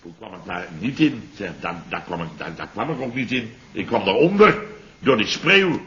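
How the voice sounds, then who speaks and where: A man's voice: on the low side, very animated, normal speed, pushed and bright. An actor playing a rambling, muddled middle-aged businessman in a 1960s radio play, groping for words and exaggerating comically. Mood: blustering. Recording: dull old radio recording.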